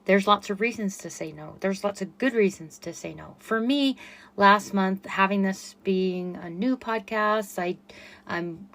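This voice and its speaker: A middle-aged woman with a quiet voice hosting a solo podcast, chatty and self-effacing with frequent fillers. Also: medium pitch, a little slow. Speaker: podcast host and running coach. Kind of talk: casual solo podcast monologue.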